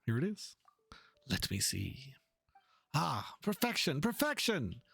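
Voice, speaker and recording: A man playing an earnest, pompous painter in a dramatic reading of game dialogue, speaking in a florid, halting, theatrical way. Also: mid-range voice, huge pitch swings, gravelly, a bit bright, husky, no room sound, voice pushed up, full character voice. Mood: delighted. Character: theatrical, earnest male painter, pompous and fervent about art.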